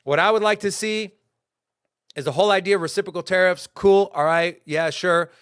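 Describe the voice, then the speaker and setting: Animated young man talking crypto markets. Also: high-pitched, a little fast, clear, resonant tone, no echo, studio quality. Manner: animated, talks in bursts with fillers and restarts. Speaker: crypto market show host. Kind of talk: casual market commentary to a live chat.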